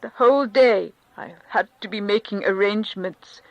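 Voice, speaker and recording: A woman's voice: mid-range voice, normal speed, dry recording, highs cut off, close to an everyday voice. A woman reading a crushed, humiliated middle-aged man in a thin, strained, pleading voice. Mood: anguished. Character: grieving humiliated middle-aged male official. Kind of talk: audiobook character reading.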